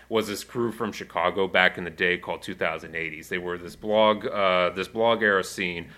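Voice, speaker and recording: A man hosting a community radio show, chatting casually with many fillers and asides. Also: sits low. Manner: relaxed, rambling with fillers. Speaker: radio show host and music coordinator.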